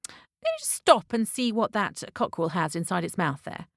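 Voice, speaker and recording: A woman's voice: medium pitch, huge pitch swings, moderate pace, creaky, fairly bright tone, no room sound, clean studio sound, exaggerated voice. A woman voicing a haughty, easily angered rich man who gives orders in a children's story. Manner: imperious, commanding.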